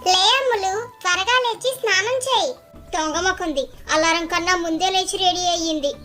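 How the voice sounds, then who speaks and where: A woman's voice: very high-pitched, brisk, sharp tone, soft background music. A woman voicing a childlike, gossipy, competitive schoolgirl in a cartoon story. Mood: outwardly friendly, then sly, scheming. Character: chatty, competitive hostel schoolgirls, scheming friends. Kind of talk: acted cartoon story dialogue.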